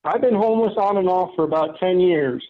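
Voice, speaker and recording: A man's voice: sits high, brisk, natural voice. A man playing a weary, challenging homeless citizen with a pleading, questioning way of talking in a radio play. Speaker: amateur actor in a community radio play. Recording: thin, phone-like remote connection.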